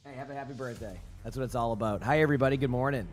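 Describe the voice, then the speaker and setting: A male streamer chatting casually with his live chat, his voice hoarse and rambling with many restarts. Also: mid-range voice, racing, faint music behind.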